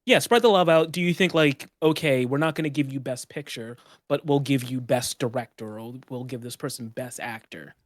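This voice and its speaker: A man's voice: mid-range voice, moderate pace, few pauses, dry recording. A man on a casual podcast chat, deflecting with dry, sarcastic, self-deprecating jokes. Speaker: podcast co-host.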